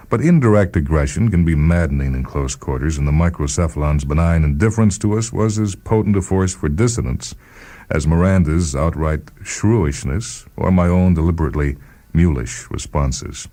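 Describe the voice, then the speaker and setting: A man reading a first-person short story as a measured, deliberate dramatic narrator. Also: low-pitched, dark tone.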